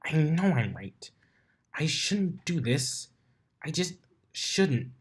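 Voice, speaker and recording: A man's voice: on the high side, very animated, slow, voice pushed up. A man voicing a bookish, bossy young female unicorn with a fretful, dryly exasperated manner in a cartoon-pony story. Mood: fretful muttering.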